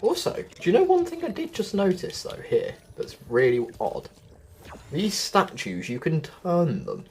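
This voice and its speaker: Man with lively, swooping gameplay commentary. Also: sits high, measured pace, a little hiss or hum. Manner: chatty, thinking aloud. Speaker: video game guide host. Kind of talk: casual gameplay walkthrough commentary.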